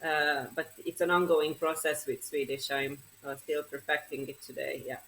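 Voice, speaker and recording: A young woman with a Hungarian mother tongue, talking in a fairly low voice. She chats modestly as a podcast guest and often pauses to find her words. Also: little pitch movement, fairly bright tone, a little hiss or hum, rough recording. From Romania. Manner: modest, chatty, with frequent hesitations. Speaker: interview guest, surgeon. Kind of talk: casual conversational interview.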